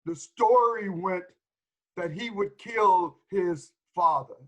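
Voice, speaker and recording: A man's voice: high voice, slow, poor audio. A man playing a reluctant, pitying old servant shepherd in a theatrical Greek tragedy. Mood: reluctant, fearful.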